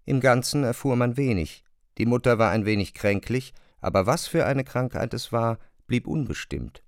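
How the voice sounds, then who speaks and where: Measured male narrator, very dry sound. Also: medium pitch, no echo. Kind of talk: literary radio drama narration.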